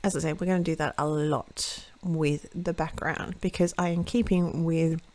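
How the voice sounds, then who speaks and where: A woman hosting a watercolour card-making tutorial, chatting through each step as she paints and often correcting herself mid-sentence, her voice a little croaky. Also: sits low, level tone, normal speed, darkish tone. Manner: chatty, self-correcting, thinks aloud while painting. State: slightly croaky voice. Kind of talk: casual step-by-step craft demonstration.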